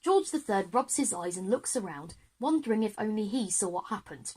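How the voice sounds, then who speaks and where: A woman's voice: medium pitch, sing-song, normal speed, darkish tone, breathy edge. A woman narrating an audio drama for children, reading out scene headings and action. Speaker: narrator and performer of all parts.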